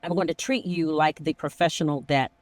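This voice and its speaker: A woman's voice: deep voice, fairly quick, no room sound. A middle-aged woman hosting a conversational discussion, speaking emphatically and slipping into imagined dialogue. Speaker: podcast host, emergency dispatch veteran.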